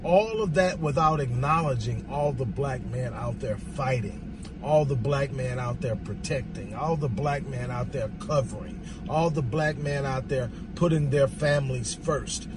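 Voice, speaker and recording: A hoarse man gives an emphatic, off-the-cuff monologue on the move, repeating his rhetorical phrases. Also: medium pitch, a little slow, far from the mic, over music. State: hoarse from talking. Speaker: vlogger giving social commentary. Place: on the move, outdoors near traffic.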